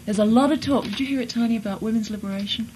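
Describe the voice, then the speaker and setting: A man's voice: sits high, racing, a little mellow, slight background noise, natural voice, rough recording. A man voicing Emily, a chatty, earnest matronly woman, on an old radio comedy show.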